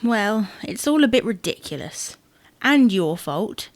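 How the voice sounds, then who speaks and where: A woman's voice: mid-range voice, big pitch swings, unhurried, dry recording. An actress playing a matter-of-fact, defensive wife in a comedy audio drama, needling her husband with dry, pointed retorts.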